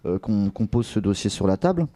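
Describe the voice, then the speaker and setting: A man hosting a local radio interview, speaking in halting phrases with drawn-out pauses. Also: lowish voice, lively intonation, dark tone. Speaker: radio host interviewing a guest.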